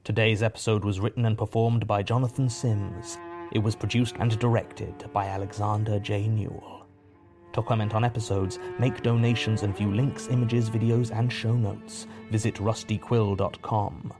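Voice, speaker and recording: A man reading scripted podcast credits as an announcer in a measured, matter-of-fact way. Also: on the low side, little pitch movement. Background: music.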